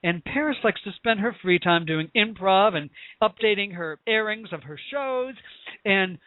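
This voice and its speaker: A man's voice: sits high, animated, moderate pace, no room sound. An American man hosting a casual interview show, effusive and theatrical, with a teasing way of talking. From United States. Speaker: talk show host. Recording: tinny, phone-like narrow-band audio.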